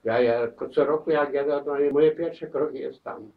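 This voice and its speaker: An elderly man recalling his wartime memories, halting, with many restarts and repeated words, in a crowded living room. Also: medium pitch, fairly even intonation, gravelly. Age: older. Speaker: war veteran interviewee. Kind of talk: spoken war memories. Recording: distant, dull camera audio.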